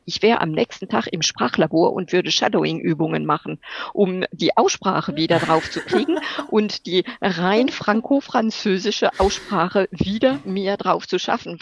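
A woman working as an interpreter, answering interview questions in a lively, emphatic way and laughing often. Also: medium pitch, vocal fry, breathy edge, no room sound. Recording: dull lo-fi recording.

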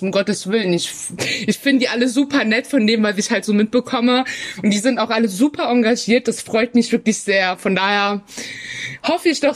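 A woman in her twenties, a student guest, chatting casually on a podcast. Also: fairly high pitch. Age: 20s. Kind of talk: casual chat.

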